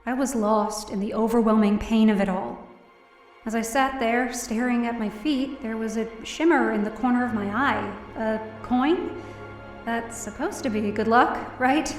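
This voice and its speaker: A woman's voice: fairly high pitch, measured pace, echoey room, distant mic, soft background music, lightly acted. A woman playing a down-on-her-luck, distressed young woman narrating her own story in an audio drama. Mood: weary, reflective. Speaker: lead actor in audio drama. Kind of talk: first-person dramatic narration.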